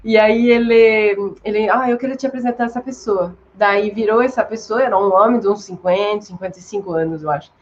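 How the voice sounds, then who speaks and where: A woman from France with a French accent in Portuguese, telling stories informally as a guest in a relaxed online chat. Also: mid-range voice, brisk, hardly any pauses. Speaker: interview guest. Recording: noisy, dull online call audio.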